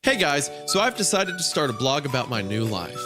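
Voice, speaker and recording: A man's voice: mid-range voice, big pitch swings, fairly quick, music in the background, close to an everyday voice. A man voicing a young, bitter, self-mocking drug-addict blogger in a chatty, sarcastic horror-story narration. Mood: defiant, bitter. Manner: chatty, sarcastic first-person blog delivery.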